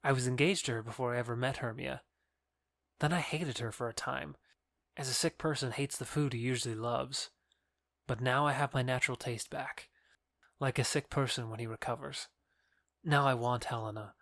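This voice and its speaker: A man's voice: mid-range voice, steady tone, moderate pace, vocal fry, fairly bright tone, no room sound, clean studio sound. A man playing an earnest, lovestruck young nobleman in a Shakespeare audio drama, declaiming sincerely. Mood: fervent, devoted.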